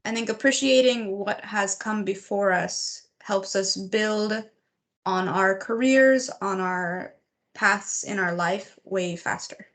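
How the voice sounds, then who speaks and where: A woman's voice: mid-range voice, slow, a bit bright. A woman co-hosting a casual chat, thoughtful and sometimes searching for words. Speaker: podcast co-host.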